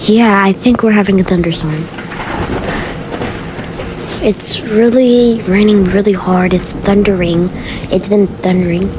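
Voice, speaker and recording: A woman's voice: mid-range voice, fairly even intonation, a little slow, pauses often, noisy background, pitched below the performer's own voice, natural voice. A woman voicing a worried, grumbling father in an amateur family roleplay.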